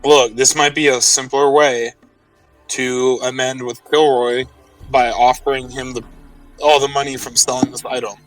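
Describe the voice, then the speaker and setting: A man's voice: mid-range voice, little pitch movement, normal speed, soft background music. Casual man, slightly distant from the mic. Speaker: tabletop game player. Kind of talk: casual in-game chat and recap.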